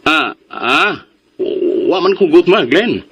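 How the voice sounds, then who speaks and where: An actor in a radio drama playing a smug, pushy, jealous man who teases and scoffs in a theatrical, drawn-out way. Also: a little high, expressive, fairly bright tone, husky, exaggerated voice. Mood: teasing, pushy.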